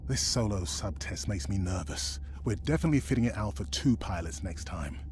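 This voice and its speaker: An actor playing a cautious, quietly caring middle-aged expedition scientist in a video game, measured and deadpan. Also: male voice, on the low side, close to an everyday voice. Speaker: expedition colleague on video link. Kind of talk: naturalistic video game acting. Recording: clear background noise, ambience.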